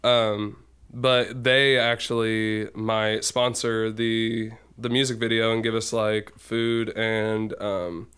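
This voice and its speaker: A man with a smooth, clear voice chats casually as a guest on a podcast. He rambles along, loading his sentences with fillers and little asides. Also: on the low side, little pitch movement, slow, a bit bright. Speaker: guest musician.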